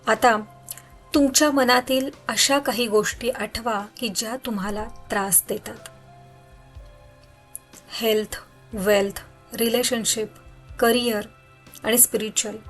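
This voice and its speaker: A woman's voice: a little high, a bit bright. Woman guiding meditation over music. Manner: soothing, instructional, unhurried with pauses. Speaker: guided meditation host. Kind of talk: guided meditation narration. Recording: background music under voice.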